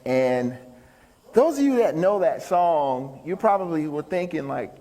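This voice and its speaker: A man's voice: a little high, lively intonation, measured pace, mellow. A man giving an upbeat, folksy live stage talk as a motivational speaker and musician, bantering with his audience. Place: large indoor stage venue. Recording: reverberant hall, stage talk.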